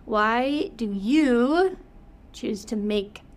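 A woman's voice: on the high side, expressive, very slow. A young woman co-hosting a podcast, talking in a casual, upbeat way while she has a cold. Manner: upbeat, thinking aloud with fillers. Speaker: podcast co-host, yoga teacher.

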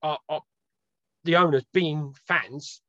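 A man from Wales talks casually as a guest over a video call, rambling and correcting himself with a dry sense of humour. His voice sits a little high. The call audio is dull and lo-fi, with a very dry sound. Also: steady tone, slow, pushed and bright, no room sound. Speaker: podcast guest, football fan.

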